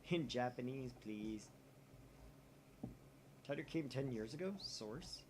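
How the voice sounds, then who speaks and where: A man's voice: mid-range voice, lively intonation, slow pace, noisy background. A man hosting a let's play, reading a visual novel's chat posts aloud and swearing casually.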